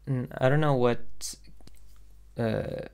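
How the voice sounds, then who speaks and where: A young man from Sweden chatting casually on a livestream, answering viewer questions in a hesitant, soft-spoken way with lots of ums and restarts. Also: mid-range voice, fairly even intonation, takes it slowly, clear, resonant tone. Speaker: independent animator streaming.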